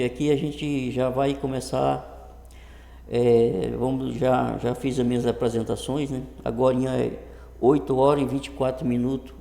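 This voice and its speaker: A man in his early fifties with a northern Brazilian accent, talking casually and haltingly to camera, often repeating words, in an echoey improvised room. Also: mid-range voice, creaky, dark tone. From Brazil, Rondônia. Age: early 50s. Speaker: vlogger introducing his channel. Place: improvised untreated home room. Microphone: computer webcam setup.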